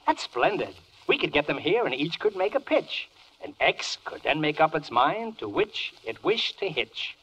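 An actor playing a flustered, blustering judge in a 1940s rhymed radio comedy, mock-pompous and exclamatory. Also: male voice, fairly high pitch, sing-song, moderate pace, fairly bright tone, husky, heavily acted. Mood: brisk, wisecracking. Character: flustered, blustering male judge in a comic verse courtroom. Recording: dull, band-limited 1940s radio recording.

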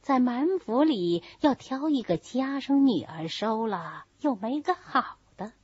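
A woman reading a novel voices an older, scheming wife of a wealthy master, speaking in a soft, coaxing manner. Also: a little high, lively intonation, measured pace, breathy edge, no room sound, highs cut off. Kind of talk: storybook character reading.

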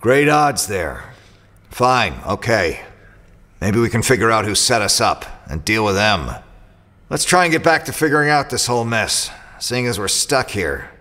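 An actor playing a cynical mercenary pilot in a sci-fi audio drama, dry and sarcastic. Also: male voice, on the low side, big pitch swings, normal speed, sharp tone. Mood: dry, questioning.

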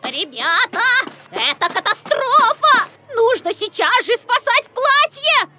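A feisty little backpack girl from a children's cartoon. The voice is very high and swings wildly as she exclaims and bosses everyone about. Loud cartoon music plays behind her. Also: sing-song, takes it slowly, heavily acted. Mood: alarmed, indignant. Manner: exclamatory, impulsive, bossy. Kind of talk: animated children's cartoon acting.

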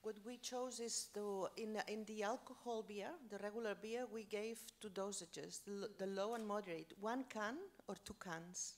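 A woman's voice: medium pitch, fairly even intonation, moderate pace, hardly any pauses. A woman on a conference panel answering questions, hesitant and restarting sentences, on a handheld mic in a large hall. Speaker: panelist researcher. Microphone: handheld microphone.

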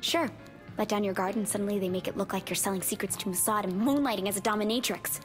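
A woman playing a paranoid, deadpan young computer hacker in a video game, talking in a dry, matter-of-fact way. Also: mid-range voice, fast talker, lightly acted. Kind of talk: video game cutscene acting. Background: background music.

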